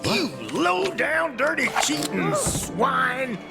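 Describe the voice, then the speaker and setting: An actor playing a foul-tempered little talking animal in a video game, snarling insults in a drawn-out way. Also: male voice, very high-pitched, big pitch swings, creaky, heavily acted. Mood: angry. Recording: loud music under the voice.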